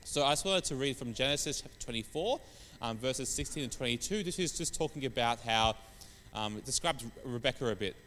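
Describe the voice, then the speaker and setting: Man, croaky voice, hay fever, rambling sermon, hall reverb, faint noise. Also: mid-range voice, expressive, a little fast, a bit bright. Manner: rambling, many fillers and restarts. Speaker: preacher. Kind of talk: informal sermon on scripture.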